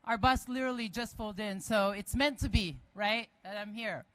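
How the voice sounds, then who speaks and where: A woman from the Philippines with a Filipino accent, giving a lively, personal speech at an outdoor march. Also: mid-range voice, moderate pace, no echo, poor audio. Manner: enthusiastic, personal. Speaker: guest speaker at a march. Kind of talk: rally speech. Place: outdoor rally.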